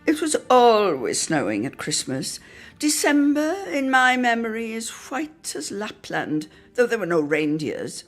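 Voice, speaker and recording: A woman's voice: a little high, big pitch swings, measured pace, soft background music. A woman giving a theatrical, lyrical reading of a literary story, savouring each phrase. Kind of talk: theatrical scripted story narration.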